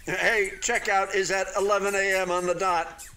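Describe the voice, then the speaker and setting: A man's voice: sits high, level tone, bright, forceful tone, slight background noise. A man in a radio play voicing an unfinished hotel building, proudly deluded and eagerly optimistic, with a theatrical delivery that halts and then gushes. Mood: upbeat, hopeful. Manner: theatrical, halting then gushing, swooping emphasis.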